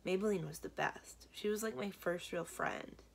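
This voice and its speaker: Woman voicing a big sullen schoolboy, gravelly and touchy. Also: lowish voice, moderate pace, a little hiss or hum. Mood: mournful. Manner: sullen, snarling outbursts, terse. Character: big, surly, touchy schoolboy, hurt underneath.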